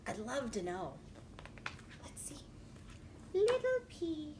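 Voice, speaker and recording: A woman children's librarian reading a picture book aloud in an exaggerated, animated way and talking directly to young listeners. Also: sits high, slow. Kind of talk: children's read-aloud storytime. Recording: noisy livestream recording.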